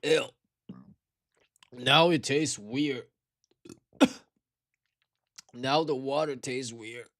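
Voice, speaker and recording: A man's voice: mid-range voice, very slow, pauses often, a bit bright. A tired young man from Taiwan, chatting to viewers on a livestream in slangy, swearing speech. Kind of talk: casual livestream chat.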